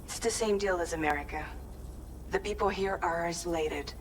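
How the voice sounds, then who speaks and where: An actress playing a businesslike, friendly mission guide in a video game, briefing step by step. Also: female voice, fairly low pitch, moderate pace, slight background noise, natural voice, poor audio. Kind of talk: video game cutscene acting.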